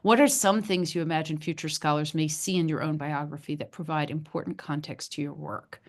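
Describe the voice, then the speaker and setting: Low-voiced woman moderating a Q&A. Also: steady tone, a little fast. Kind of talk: reading out an audience question.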